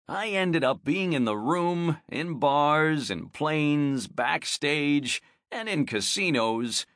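A man's voice: medium pitch, fairly bright tone, no room sound. A man reading a first-person memoir aloud in a wry, self-mocking storytelling manner, close to a studio mic. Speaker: audiobook narrator.

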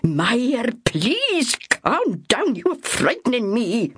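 A skeptical older woman speaks slowly with drowsy disbelief, voiced by a woman reading aloud. She sounds stubborn and unconvinced. The recording is dry. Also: sits high, wildly swooping pitch, a bit bright, no room sound, pitched above the performer's own voice, exaggerated voice. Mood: doubtful.

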